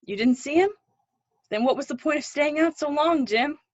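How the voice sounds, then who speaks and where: A woman's voice: high voice, expressive, normal speed, no room sound, lo-fi sound. A woman acting an earnest, pushy drama teacher with faded dreams, encouraging and wistful in a play readthrough. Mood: concerned.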